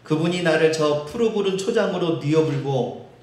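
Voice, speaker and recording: A man's voice: a little high, moderate pace, darkish tone, voice pushed up, natural voice. Brief: male pastor playing a hearty Jeolla countryman, playful drawl, in a reverberant church. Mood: folksy. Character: folksy man reading a psalm in rural dialect. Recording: echoey church hall.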